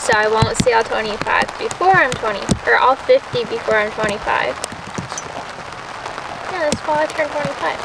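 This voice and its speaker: A chatty woman in her twenties runs through a list of questions in a light, slightly high voice. She sounds distant from the camera, with rain and a busy background all around. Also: animated, moderate pace, creaky, over a noisy crowd. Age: 20s. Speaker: vlogger. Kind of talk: casual chat. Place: outdoors beside a car in the rain. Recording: distant outdoor camera audio with rain noise. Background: rain and outdoor ambience.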